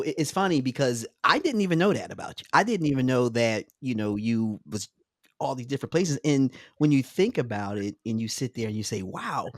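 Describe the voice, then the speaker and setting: A man hosting a podcast, talking loosely with plenty of fillers and restarts. His voice sits a little high for a man. The sound is close and dry, with almost no room around it. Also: dry recording. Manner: relaxed, chatty, many fillers and restarts, laughs easily. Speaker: podcast host. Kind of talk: casual interview chat.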